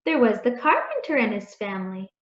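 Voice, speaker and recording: A woman reading a children's story aloud in a deliberate, expressive storytelling manner. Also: on the high side. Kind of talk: read-aloud storytelling, scripted narration. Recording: echoey room, dull muffled sound.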